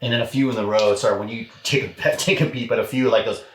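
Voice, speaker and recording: A man casually directing a recording session, encouraging and halting as he thinks aloud. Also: mid-range voice, steady tone. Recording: roomy echo with faint noise.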